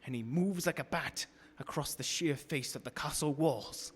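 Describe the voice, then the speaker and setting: A man's voice: fairly high pitch, normal speed, slightly rough. An actor playing a terrified young man held captive, intense and theatrical, in radio horror style. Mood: haunted, dread. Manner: intense, theatrical, breaking into cries. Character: terrified young man, captive in a castle, writing his journal. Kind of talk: dramatic radio horror acting. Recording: reverberant hall, faint background noise.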